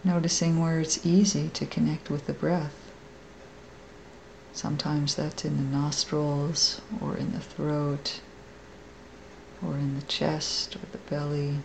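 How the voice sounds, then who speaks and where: Slow, creaky-voiced woman guiding meditation. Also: sits low, level tone, a little hiss or hum, low-quality recording. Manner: gentle, unhurried, with long pauses. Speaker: meditation teacher. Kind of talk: guided meditation.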